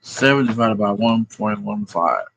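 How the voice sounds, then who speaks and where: A man's voice: lowish voice, unhurried, vocal fry, a bit bright. A man rambling in a profane, meandering monologue, thinking aloud as he works through sums. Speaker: vlogger.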